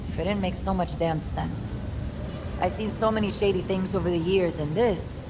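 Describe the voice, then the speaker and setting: Woman voicing a world-weary cop, dry sarcasm, lowish voice, noisy game audio. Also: moderate pace, natural voice. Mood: grim. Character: weary, sardonic woman police detective, middle-aged. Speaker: police detective.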